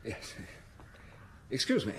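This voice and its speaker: An actor playing a profligate aristocratic son in a radio detective drama, breezy and haughty in manner. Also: male voice, takes it slowly, a bit bright, slight background noise, poor audio. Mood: uneasy, rueful. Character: profligate, cocky aristocratic count, a grown son.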